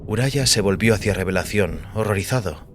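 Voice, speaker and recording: Low-voiced male audiobook narrator. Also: brisk. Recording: long reverberant hall with music behind. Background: music.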